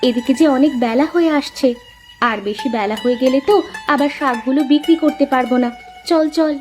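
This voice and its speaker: High-pitched caring young village girl voiced by a woman. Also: brisk, a little mellow, soft background music, lightly acted. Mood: consoling, earnest. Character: caring young village sisters, dutiful daughters of a sick father. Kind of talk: Bengali moral-story cartoon dubbing.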